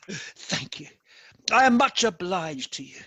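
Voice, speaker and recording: A man playing a reformed elderly miser in a radio play, an effusive and excitable old gentleman with a husky voice. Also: high-pitched, slow pace, dry recording, full character voice. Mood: giddy gratitude. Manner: effusive, chuckling, stammering with excitement.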